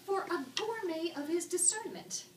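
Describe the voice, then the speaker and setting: A woman narrating a written story in an expressive, arch, dramatic style. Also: very high voice, normal speed. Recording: echoey room, distant mic, background noise.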